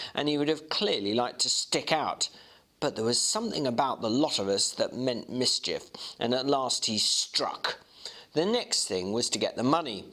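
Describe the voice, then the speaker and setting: A man acting out a worldly Victorian gentleman in a storybook reading, recounting a shocking incident. He is vivid and emphatic, his medium-pitched voice swinging freely up and down. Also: full character voice. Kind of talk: dramatic audiobook reading.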